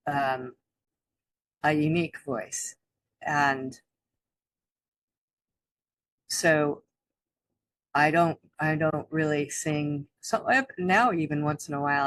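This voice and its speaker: A woman co-hosting a spiritual talk, speaking haltingly with many restarts and soft laughs. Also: deep voice, a little hiss or hum, poor audio. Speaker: co-host. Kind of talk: spiritual teaching chat.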